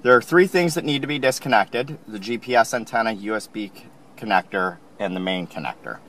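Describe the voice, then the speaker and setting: Man, lowish voice, plain how-to narration, calm, faint car ambience. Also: fairly quick, slight background noise. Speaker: installation instructor. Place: inside a car.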